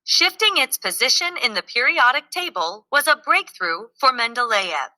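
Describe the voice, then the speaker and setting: A woman reading a scripted educational narration aloud, clearly enunciated and explanatory in manner. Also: fairly high pitch, very animated, normal speed, pushed and bright, no echo.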